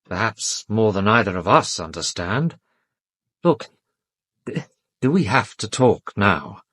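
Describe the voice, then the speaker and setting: A well-educated English gentleman in a radio drama. His voice is fairly low and noticeably husky, recorded dry. He is polite but guarded and defensive, with a weary, wry self-deprecation. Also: very animated, slow pace, dry recording, exaggerated voice. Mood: shaken, guarded. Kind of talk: British radio drama acting.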